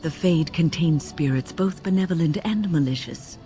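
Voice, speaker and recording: A woman's voice: low-pitched, warm tone, faint music behind, natural voice. An older actress playing a wise, composed mage woman, reflective and formal, in a video game. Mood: grave, reflective. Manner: measured, reflective, formal storytelling. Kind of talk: dramatic video game dialogue.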